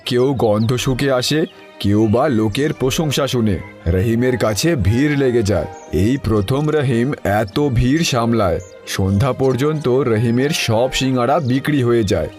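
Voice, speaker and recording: A man reads scripted cartoon narration in a mid-pitched voice. A faint music bed runs underneath him. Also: measured pace, a little mellow.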